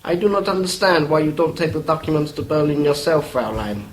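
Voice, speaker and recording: A man's voice: on the high side, expressive, brisk, a little hiss or hum, lightly acted, low-quality recording. A man playing a boastful, self-assured hired burglar in a radio play, speaking in a proud, stiffly formal way. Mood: cocky.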